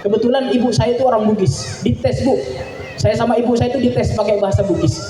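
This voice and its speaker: A man's voice: very high-pitched, steady tone, a little fast, mellow, faint music behind, a faint crowd. A young man from Madura, preaching a sermon to a gathering and reciting Arabic in a rising, declamatory way. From Madura, Indonesia. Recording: echoey hall, distant mic, faint ambience.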